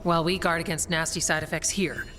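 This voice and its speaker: An actress playing a practical, wryly calm warrior woman in a video game, speaking in a measured, matter-of-fact way. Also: low-pitched, a little hiss or hum. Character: steady, practical Grey Warden woman, calm and wryly dry. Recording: music behind the voice.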